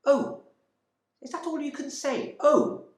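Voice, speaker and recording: A man voicing a distraught wife in a dramatic monologue, screeching and stammering as she demands answers. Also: takes it slowly. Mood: sharp, angry. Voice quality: screeching, high. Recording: roomy indoor echo.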